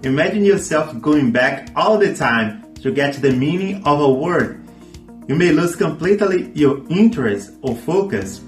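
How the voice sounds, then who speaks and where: A man's voice: a little high, expressive, unhurried. A man whose first language is Portuguese, presenting a scripted video lesson with earnest, friendly advice to his viewers. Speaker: language teacher YouTuber. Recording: echoey room with faint music.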